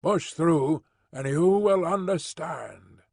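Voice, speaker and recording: A man voicing a pompous, self-assured male professor who talks down to others, in a husky voice. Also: on the high side, takes it slowly, a little mellow, dry recording, clean studio sound, exaggerated voice. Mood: eager. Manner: lofty, condescending.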